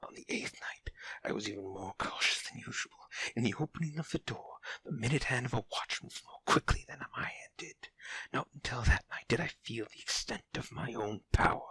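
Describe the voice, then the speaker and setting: An actor playing an obsessed, unhinged murderer in an audio drama horror monologue, theatrical, moving between breathy hush and sudden outbursts. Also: male voice, mid-range voice, expressive, fairly quick, in a whisper, heavily acted, rough recording. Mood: secretive, gloating. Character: obsessed, unhinged murderer confessing his careful crime.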